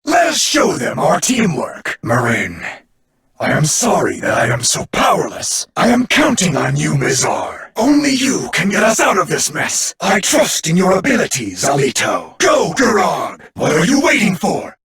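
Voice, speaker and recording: An actor voicing a noble, solemn warrior sworn to protect his world, speaking formally and with dramatic earnestness in an anime card game, in a husky, gravelly voice. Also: high voice, wildly swooping pitch, a little slow, a bit bright, heavily acted. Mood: earnest, pleading, then rousing, commanding.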